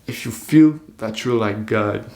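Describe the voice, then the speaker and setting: A man's voice: fairly low pitch, measured pace, vocal fry, darkish tone. An eighteen-year-old man talking to camera in an earnest, loose, reflective monologue, full of "like" fillers. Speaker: self-improvement vlogger.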